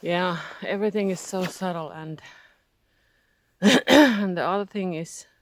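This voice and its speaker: A Finnish-accented speaker, native in Finnish, gives a hesitant, reflective spiritual vlog, often searching for words and laughing softly. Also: mid-range voice, unhurried, no room sound. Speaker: spiritual vlogger. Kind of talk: casual reflective monologue.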